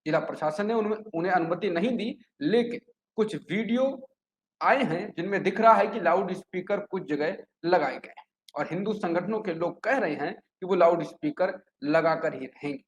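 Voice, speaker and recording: Male news presenter, high pitch, explanatory delivery, noisy background. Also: normal speed. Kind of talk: scripted news report narration with explanatory asides. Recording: echoey room with clear background noise.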